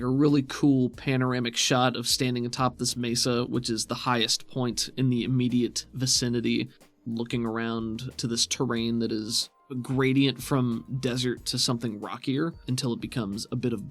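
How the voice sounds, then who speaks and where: A man speaking as game master of a tabletop roleplaying game, describing scenes and talking rulings through conversationally, at a middling pitch.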